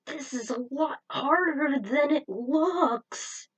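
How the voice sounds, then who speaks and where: A woman voicing a brash, excitable young male rookie racer in a fan-fiction story. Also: high-pitched, takes it slowly, pitched above the performer's own voice, rough recording. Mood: grumbling, strained. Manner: excitable, incredulous, grumbling.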